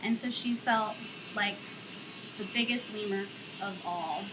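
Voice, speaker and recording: A young woman who works at a zoo reads a children's picture book aloud outdoors, in an expressive storytelling manner. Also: medium pitch, noisy background. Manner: slow, expressive storytelling, livelier chat afterward. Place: outdoor zoo enclosure with trees.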